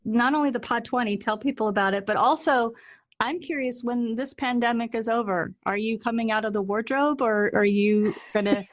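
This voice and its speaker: A woman's voice: a little high, moderate pace, dull audio. A woman, an interview host chatting casually and informally.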